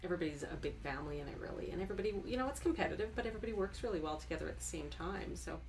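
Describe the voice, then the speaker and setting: A woman's voice: on the low side, expressive, rapid-fire, darkish tone, soft background music. A middle-aged woman chatting casually as a podcast guest, agreeable, informal and enthusiastic. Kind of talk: casual chat.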